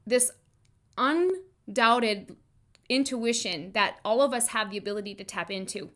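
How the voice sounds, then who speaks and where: Female podcast host, slightly high register, motivational coaching talk, informal direct address with emphatic repetition. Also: measured pace, a bit bright. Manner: emphatic, repeats phrases for emphasis.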